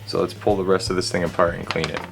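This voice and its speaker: A man with a low voice talks casually through a hands-on repair. He rambles and jokes in a wry, sweary way as host. Faint music sits behind him. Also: flat delivery, fast talker, gravelly, a bit bright.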